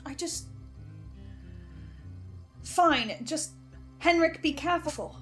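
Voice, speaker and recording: High-voiced, anxious, sardonic woman, acted over music. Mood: resigned worry. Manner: halting, expressive, self-interrupting. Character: Edwin, anxious, sardonic researcher and broadcaster bound to fearsome powers. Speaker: podcast-style host reviewing a horror series. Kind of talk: naturalistic scripted audio drama.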